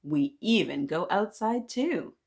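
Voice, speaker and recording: A woman voicing a lively female first-grade teacher, emphatic as she addresses a child in a storybook. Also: lowish voice, slow pace, vocal fry, exaggerated voice. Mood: reassuring.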